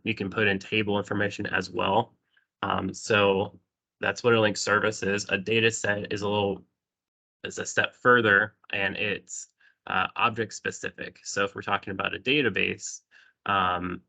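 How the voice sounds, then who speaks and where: A man with a cold giving a remote technical presentation over a call, sounding congested, often clearing his throat and saying um. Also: fairly low pitch, pushed and bright. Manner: frequent throat clearing and ums. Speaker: presenter at a user group.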